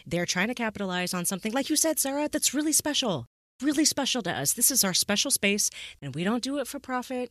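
A woman's voice: mid-range voice, a bit bright, dry recording, clean studio sound. A woman hosting a podcast with casual, opinionated talk, emphatic and repeating phrases for stress. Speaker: podcast host.

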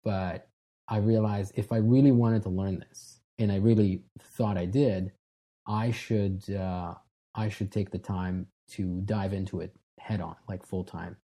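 A man in his thirties from Israel talks as an interview guest, his voice dark and mellow with a soft, breathy edge. He speaks in a relaxed, self-deprecating way and restarts his sentences often. His voice sits a little low for a man. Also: unhurried. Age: 30s. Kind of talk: casual interview talk.

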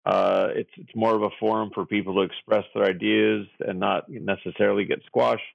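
A man co-hosts a casual podcast with a heavily gravelly, fried voice pitched a little low. He talks in a loose, rambling way with many fillers and restarts. The sound is dry and close on the mic. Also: level tone, a little fast, no room sound, dull audio. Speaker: podcast co-host running trivia.

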